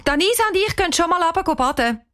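A woman voicing a quick-witted, nervous young girl in a children's audio story. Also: extremely high pitch, lively intonation, voice pushed up. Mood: planning.

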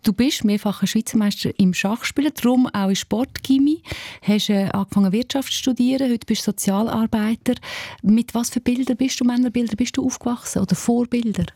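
Woman interviewer, fairly high and mellow, probing, dry close mic. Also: brisk, slightly rough, no echo. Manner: probing, lays out background before asking. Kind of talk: interview questions.

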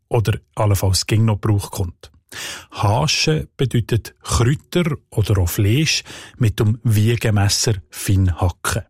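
A man with a Swiss German accent, a dialect expert explaining the history of words in a calm, precise radio segment. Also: fairly low pitch, slightly rough, no room sound, studio quality.

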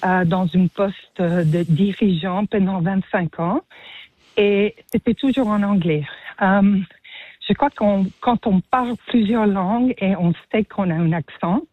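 A woman's voice: medium pitch, a little slow, dry recording. A woman from Mexico and the United States, calling in to a radio show by phone while walking her dog, talking hesitantly with many fillers. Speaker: caller. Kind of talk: phone-in testimony. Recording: tinny dry phone line.

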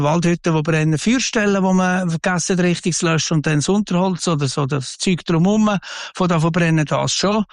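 A man in his sixties with a Swiss accent from the Basel area, a fire inspector answering interview questions calmly, with some hesitations. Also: a little high, no echo, studio quality. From northwest Switzerland. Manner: calm, measured, reassuring, with frequent hesitations.

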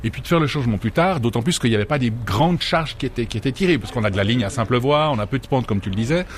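A man explains technical matters informally. He is measured and precise, with some hesitation. His pitch is average, and light ambient noise sits behind him. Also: animated.

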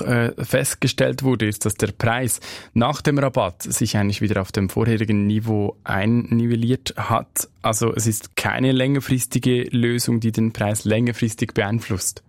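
A man interviews a guest with measured, pointed questions. His voice has a gravelly edge in the lower register. The sound is dry and close. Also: steady tone, dry recording.

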